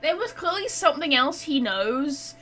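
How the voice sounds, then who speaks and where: Female game streamer, high bright voice, excitable, gushing, blunt, casual commentary. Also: normal speed, faint music behind. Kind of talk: casual reactive gameplay commentary.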